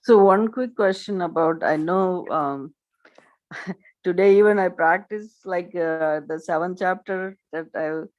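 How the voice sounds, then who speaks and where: A woman with a low voice, a member of a study group, talking hesitantly over a video call. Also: a little slow, a little mellow, muffled recording. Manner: hesitant, many fillers and restarts. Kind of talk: casual discussion.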